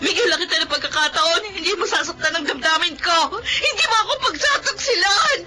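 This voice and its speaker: A woman's voice: extremely high pitch, animated, a little fast. An actress playing a betrayed young mother in an audio drama, tearful and pleading. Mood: sobbing anger. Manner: tearful, pleading, bursts into shouting. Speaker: wronged wife. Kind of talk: Filipino radio-style audio drama.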